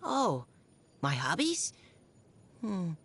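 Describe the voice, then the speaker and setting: A young woman voicing a shy, gentle, earnest army scout in a video game, speaking politely and hesitantly. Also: fairly low pitch, huge pitch swings, very slow, husky, full character voice. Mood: warm, grateful. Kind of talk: video game character voice lines. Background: music.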